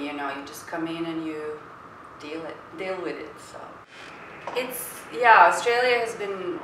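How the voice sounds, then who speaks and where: Thoughtful woman, roomy and distant. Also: on the low side. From Serbia. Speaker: interviewee, university worker. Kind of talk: interview answers. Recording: roomy, distant mic, clear noise.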